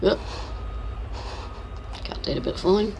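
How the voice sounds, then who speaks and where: Croaky older Kiwi grandmother gamer. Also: lowish voice, lively intonation, slow pace, darkish tone, slight background noise, poor audio. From New Zealand. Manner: chatty, teasing, narrates her own driving. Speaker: game streamer. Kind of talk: casual live-stream chat while playing.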